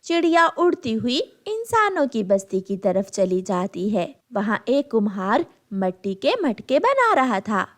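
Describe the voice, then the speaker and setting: A woman storyteller with a high-pitched voice. She reads scripted narration for a children's cartoon tale, with very animated, melodic intonation. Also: a little slow, few pauses.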